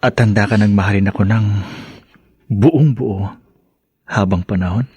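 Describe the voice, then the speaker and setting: An actor playing a devoted, humble man in love in a radio drama, speaking haltingly and earnestly. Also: on the low side, a little mellow, slightly rough. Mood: tender, awed.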